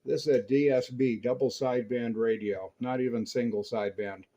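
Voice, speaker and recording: An American man talks in an easygoing CB radio style, with a dull, band-limited sound. His pitch is middling and he explains things with a hobbyist's enthusiasm. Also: steady tone, normal speed, mellow. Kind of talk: casual CB radio chat.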